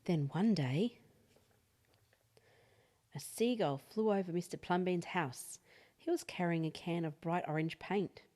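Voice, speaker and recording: Low-pitched woman reading a children's story. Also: unhurried, pauses often, warm tone. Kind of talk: expressive read-aloud bedtime story narration.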